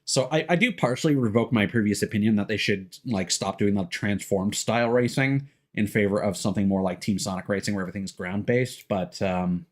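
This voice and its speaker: A man casually commentating on a livestream, thinking aloud in a rambling way with hesitant pauses. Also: on the low side. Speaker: game livestreamer.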